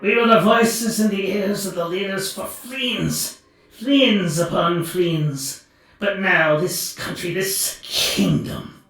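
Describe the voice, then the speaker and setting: A man's voice: sits high, takes it slowly, fairly bright tone, exaggerated voice. A man voicing a scolding, haughty, frustrated old witch who leads a sect in a comic fantasy audio drama. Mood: solemn, bitter. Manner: haughty, chiding. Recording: echoey, distant, music underneath.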